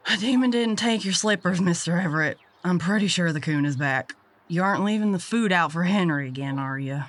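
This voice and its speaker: An actress voices a gruff, seasoned female boss in an audio drama. Her voice is a bit low. She gives orders bluntly, with dry sarcasm and folksy slang. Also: fairly quick, natural voice. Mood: flat, weary. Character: gruff, sardonic Southern woman pest catcher, seasoned boss. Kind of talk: audio drama character acting.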